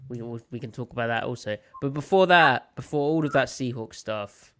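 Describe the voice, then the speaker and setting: British man, snuffly, smooth voice. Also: medium pitch, a little fast. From United Kingdom. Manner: animated, playful and opinionated. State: slight head cold, snuffly. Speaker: podcast host. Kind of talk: casual live podcast chat.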